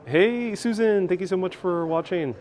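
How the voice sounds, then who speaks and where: A man's voice: sits high, normal speed, a little mellow. A man hosting a casual live stream outdoors in a city, chatting with viewers and reading out their comments. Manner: chatty and upbeat, thanks viewers by name. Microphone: wireless clip-on mic.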